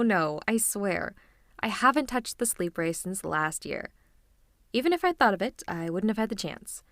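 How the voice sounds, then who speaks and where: A woman's voice: medium pitch, no room sound. Woman acting a teasing supervillainess, dry close recording. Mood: playful, fond. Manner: coaxing, drawn-out endearments and monologues. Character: teasing, theatrical female supervillain, fond of her nemesis. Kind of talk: intimate roleplay audio acting, ASMR.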